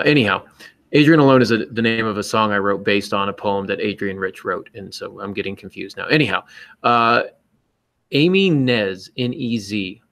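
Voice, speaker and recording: A man's voice: fairly low pitch, vocal fry, a bit bright. A man hosting a podcast with casual, joking chat, enthusiastic and somewhat rambling. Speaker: podcast host.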